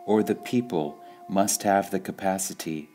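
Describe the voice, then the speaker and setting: A man reading spiritual sayings aloud in a calm, measured way, pausing between phrases. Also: low-pitched, soft background music. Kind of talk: slow meditative reading of quotations.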